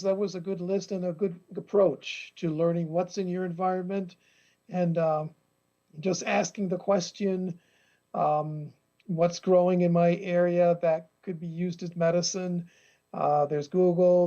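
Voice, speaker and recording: A man's voice: high-pitched, mellow, highs cut off. A man hosting and moderating an online health seminar, speaking hesitantly with many ums and uhs. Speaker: event host and moderator.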